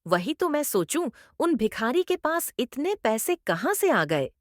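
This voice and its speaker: A woman acts out a scheming middle-aged mother-in-law from a cartoon. Her voice is fairly high and swings widely in pitch. She is theatrical and domineering, recorded close and dry. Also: big pitch swings, unhurried, no echo. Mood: accusing, scolding. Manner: scolding, theatrical, emphatic. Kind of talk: Hindi moral-story cartoon voice acting.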